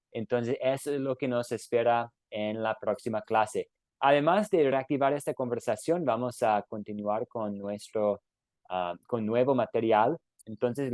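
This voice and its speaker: A man in the middle of his range teaches a Bible class over a video call. He talks gently and pauses with small fillers. The sound is close and dry, with no room around it. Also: medium pitch, no echo. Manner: gentle, with frequent fillers and restarts. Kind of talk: closing an online class with a prayer.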